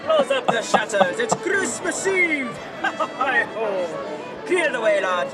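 An actor playing a jolly, larger-than-life old boss, calling out festively in an audio play. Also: male voice, very animated, slow pace, full character voice. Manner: booming festive calls, drawn out. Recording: loud music and crowd behind.

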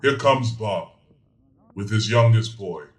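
An actor playing a jolly, genial giant spirit in an audio drama, speaking in a hearty, grand, drawn-out way. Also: male voice, fairly low pitch, lively intonation, reverberant. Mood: solemn guiding.

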